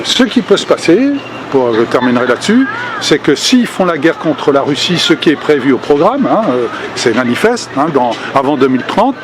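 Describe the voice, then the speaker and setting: An older man speaking at a public meeting, earnest and hesitant, with many fillers and restarts. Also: fairly high pitch. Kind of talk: spontaneous political speech. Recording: outdoor recording with street noise.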